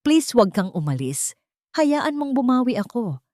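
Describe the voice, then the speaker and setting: A woman's voice: a little high, sing-song, unhurried, a little mellow, no room sound, clean studio sound, pitched above the performer's own voice. A woman with a Filipino accent voicing a frail, once-haughty old mother-in-law, anguished and pleading, in an audio story. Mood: remorseful, pleading.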